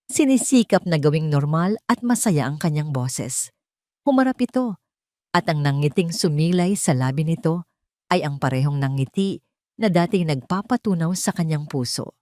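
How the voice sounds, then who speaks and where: Woman telling a dramatic story, kinda low voice, slow-burn suspense, super dry recording. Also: mellow, dry recording. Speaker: audio story narrator. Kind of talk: dramatic scripted storytelling narration.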